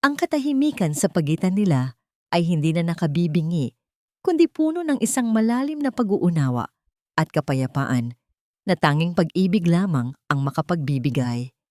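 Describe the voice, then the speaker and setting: Woman narrating drama in a low, dry voice. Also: sing-song, moderate pace, darkish tone, no echo. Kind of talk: scripted dramatic story narration.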